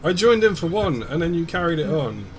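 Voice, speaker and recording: A young man, chatting in a relaxed way during a gaming stream and swearing casually. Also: on the high side, fairly quick, slight background noise, poor audio.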